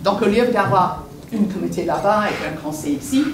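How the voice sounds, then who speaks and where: A woman's voice: mid-range voice, lively intonation, normal speed, vocal fry. A woman whose first language is English, speaking at a public meeting, hesitant and searching for words with many restarts. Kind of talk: unscripted public comment. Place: meeting hall. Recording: echoey room, distant mic, background noise.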